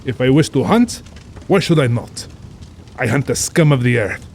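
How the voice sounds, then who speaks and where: An actor playing an urbane, cold-blooded middle-aged general and big-game hunter, speaking formally with pompous, suave amusement in an audio drama. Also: male voice, medium pitch, expressive, normal speed, creaky, slight background noise. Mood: cold, menacing.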